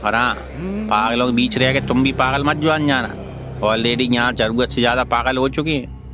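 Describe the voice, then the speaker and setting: Guy doing wry hospital orderly giving advice, raised pitch, noisy ambience behind. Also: moderate pace, close to an everyday voice. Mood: wry warning. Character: wry male asylum compounder, cautioning a newcomer. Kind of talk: horror audio story dialogue.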